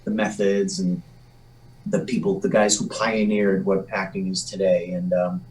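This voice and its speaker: A man's voice: deep voice, flat delivery, unhurried, darkish tone. Man, echoey and distant. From Massachusetts, USA. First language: Polish. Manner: rambling, self-deprecating joker. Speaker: guest, actor. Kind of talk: casual interview storytelling. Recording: echoey room, distant mic.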